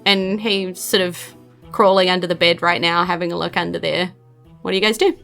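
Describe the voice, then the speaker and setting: A woman's voice: lowish voice, fairly even intonation, brisk, creaky. A woman with a New Zealand accent narrating as game master in a loose, conversational way. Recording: faint music under the voice. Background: soft background music.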